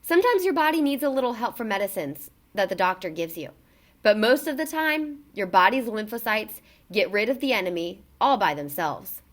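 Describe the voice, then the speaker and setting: A woman reading a children's book aloud in an expressive, emphatic way, as a narrator for young listeners. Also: mid-range voice, moderate pace.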